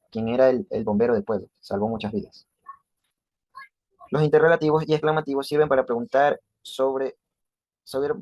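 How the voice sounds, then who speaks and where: Halting man reading a lesson, close dry sound. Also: medium pitch, darkish tone, no echo. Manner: halting, stumbles over words and corrects himself. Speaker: presenter of a grammar lesson. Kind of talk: scripted lesson narration.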